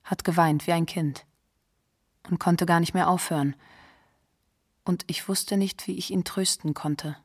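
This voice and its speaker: An actress playing an old friend who sadly and tenderly reminisces in an intimate, plain radio monologue. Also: female voice, fairly low pitch, steady tone, unhurried, long pauses, husky. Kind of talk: radio documentary drama monologue.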